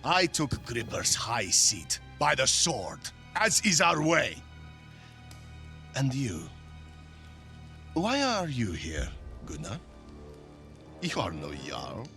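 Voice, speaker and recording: Ruthless game Viking usurper, scornful, slow and taunting, with very animated intonation. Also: male voice, medium pitch, creaky, faint music behind, heavily acted. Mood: mocking, menacing. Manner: taunting, deliberate. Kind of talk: cinematic video game acting.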